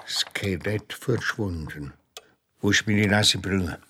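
A man playing a forgetful, grumbling but amiable detective sidekick with a husky voice and a Swiss accent, muddled and defensive, in a children's radio play. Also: low voice, slow, pushed and bright, slight background noise, heavily acted.

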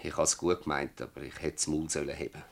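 An actor playing a stubborn, bitter old village farmer in a Swiss German dialect radio play, rambling and emphatic with rhetorical repetition. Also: male voice, rumbling low, little pitch movement, fast, gravelly. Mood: agitated, rueful.

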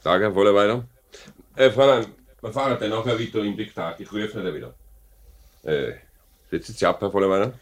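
A man's voice: fairly low pitch, lightly acted. A man playing a formal, authoritative factory director with a Swiss German accent in a 1950s radio play. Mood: businesslike. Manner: measured, formal, noncommittal. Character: measured, authoritative male factory director, businesslike.

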